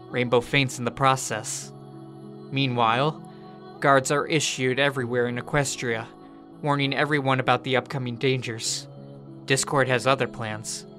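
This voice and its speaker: A man with a bright, forward voice narrates a scripted recap of a story. His pitch sits in an ordinary male range, and music plays clearly underneath him.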